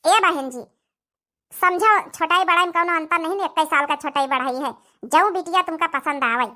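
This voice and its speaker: Woman doing scheming village mom w awadhi accent, chatty n insistent cartoon comedy, high bright voice. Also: moderate pace. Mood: persuasive. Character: scheming village mother pushing her favoured daughter. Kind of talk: rustic cartoon comedy acting.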